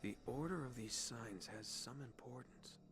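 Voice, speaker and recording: An actor playing an adventurous, quick-witted male treasure hunter in a cinematic video game, heard quietly under music. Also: medium pitch, natural voice. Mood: curious.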